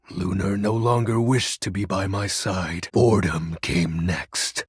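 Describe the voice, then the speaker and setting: An actor playing a grandiose, brooding shadow lord in a video game, speaking in a pompous, archaic, melodramatic way with a gravelly, husky voice. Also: male voice, lowish voice, no echo, studio quality, exaggerated voice. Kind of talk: theatrical video game character acting.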